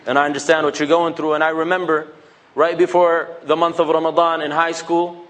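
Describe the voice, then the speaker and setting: A man's voice: fairly high pitch, level tone, a little fast, fairly bright tone. A man, giving a casual, joking religious talk to young people and acting out bits of dialogue. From United States. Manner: conversational, joking, acts out quoted dialogue.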